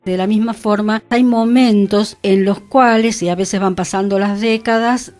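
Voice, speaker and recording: A woman's voice: medium pitch, a little mellow. A woman giving conversational radio commentary, earnest and flowing through long lists. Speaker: radio talk participant. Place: radio studio.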